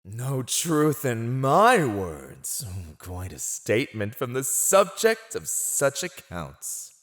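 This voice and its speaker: A man's voice: medium pitch, wildly swooping pitch, slow pace, fairly bright tone, full character voice. A man voicing a mocking, silver-tongued fantasy villain in a scripted ASMR roleplay, gloating over a captive in a teasing, condescending, theatrical drawl. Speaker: voice actor.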